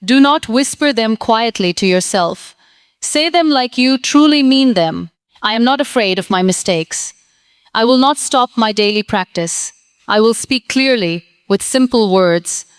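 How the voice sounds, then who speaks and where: A woman's voice: fairly high pitch, animated, a little slow, smooth, dry recording. A woman giving a scripted motivational English lesson, earnest and encouraging, speaking in short, plain sentences. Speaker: English teacher.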